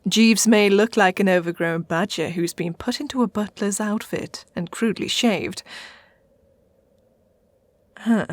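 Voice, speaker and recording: A woman's voice: medium pitch, no room sound, natural voice. A woman playing an imperious, coaxing English witch, lady of the castle, in a theatrical radio sitcom style. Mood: soothing, breezy. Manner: grand, coaxing, unhurried. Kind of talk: comedy radio sitcom acting.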